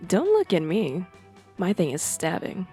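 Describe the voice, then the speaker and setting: A woman's voice: fairly low pitch, huge pitch swings, unhurried, warm tone, faint music behind. A woman voicing a cool, shrewd, menacing teenage monster girl with curt, composed delivery in an amateur game dub. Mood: aloof. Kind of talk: amateur visual novel dub.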